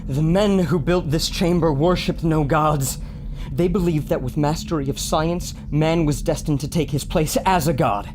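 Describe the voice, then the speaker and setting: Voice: mid-range voice, moderate pace, background noise, close to an everyday voice. An actor playing an anxious, brainy doctor in an audio drama, earnest and hesitant. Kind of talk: scripted audio drama acting.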